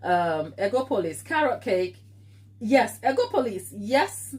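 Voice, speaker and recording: A woman's voice: medium pitch, big pitch swings, measured pace. Ghanaian woman, lightly English-tinged accent, medium voice, easygoing livestream baker who chats and drifts onto tangents. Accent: Ghanaian with English influence. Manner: chatty, tangent-prone, reads and answers viewer comments. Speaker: baking livestream host. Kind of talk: casual live-stream chat while baking.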